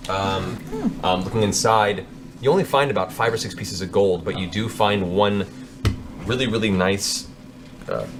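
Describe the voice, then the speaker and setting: A man with a fairly low voice narrates a tabletop fantasy game as game master. He improvises vivid descriptions and tells them with a storyteller's sense of drama. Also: unhurried.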